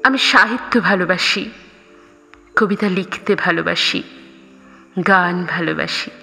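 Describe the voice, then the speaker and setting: Slow, bright-voiced woman narrating a story. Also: on the low side, vocal fry. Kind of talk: scripted first-person story narration. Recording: reverberant, music underneath. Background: background music.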